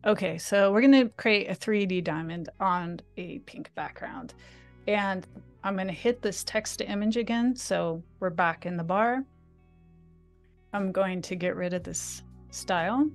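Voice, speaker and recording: A woman's voice: mid-range voice, unhurried, faint music behind. A woman talking on a video call, walking through a design tool in a live tutorial with a chatty, eager manner. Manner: chatty, enthusiastic. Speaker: guest designer demonstrating software. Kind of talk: live screen-share tutorial.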